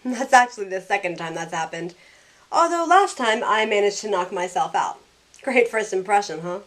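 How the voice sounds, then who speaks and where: An actress plays a snarky young woman in an audio drama. She tells her own story with dry sarcasm and a teasing edge, at a medium pitch. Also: lively intonation, moderate pace, natural voice. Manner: wry, sarcastic. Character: snarky young woman thrown back aboard the Titanic. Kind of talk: first-person scripted narration and acted dialogue.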